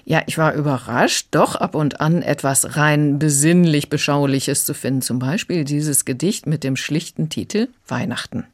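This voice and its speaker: A woman's voice: low voice, normal speed, few pauses. A woman giving a conversational review as a critic in a radio discussion.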